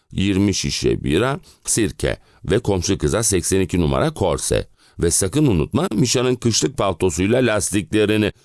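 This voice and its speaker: A man's voice: sits low, a little mellow, no room sound, studio quality, exaggerated voice. An actor playing an exhausted middle-aged family man and clerk, complaining theatrically in an audio play. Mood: fed-up ranting. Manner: dramatic, complaining, rhetorical questions. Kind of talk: theatrical audio drama monologue.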